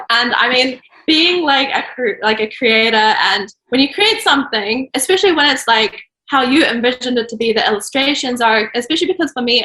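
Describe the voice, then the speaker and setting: Woman guest, casual talker, high and bright, echoey room sound. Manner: laughs often, chatty, many "like"s. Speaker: children's book author and illustrator. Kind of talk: casual interview chat.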